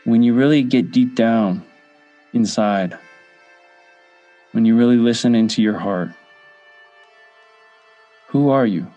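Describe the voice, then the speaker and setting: Slow-paced man guiding a meditation over music. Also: mid-range voice, warm tone, lo-fi sound. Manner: measured, pausing between short phrases. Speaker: meditation guide. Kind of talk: guided meditation. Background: background music.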